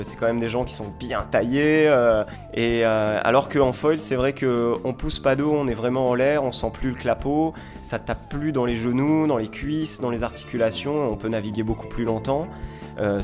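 Man, mid-range voice, from southern France, informal interview guest, enthusiastic explanations with pauses, faint guitar music. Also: medium pitch, a little mellow. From Montpellier, southern France. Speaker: foil school owner and instructor, interview guest.

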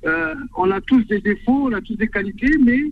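A man's voice: high voice, animated, a little fast. A man, calling in to a radio show from his car, hesitant and reflective with many fillers. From Provence, France. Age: 50s. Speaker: caller. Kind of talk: radio call-in confession. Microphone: phone. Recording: tinny phone line with faint noise.

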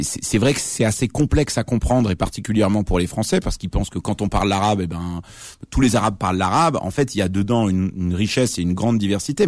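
A man in his late thirties with a very low voice, answering questions as a radio guest. He explains patiently, restarting sentences and filling pauses as he thinks. The sound is close and dry, with no room around it. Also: darkish tone, dry recording. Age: late 30s. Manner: thoughtful, explanatory, frequent fillers and restarts. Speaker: guest, journalist and author. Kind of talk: radio interview.

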